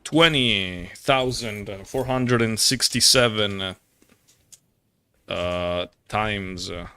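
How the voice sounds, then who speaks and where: A man's voice: fairly low pitch, very slow, pushed and bright, clear, resonant tone. A young man chatting casually on a gaming live stream, rambling and slangy, playfully exasperated and prone to swearing. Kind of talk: casual live-stream chat while playing.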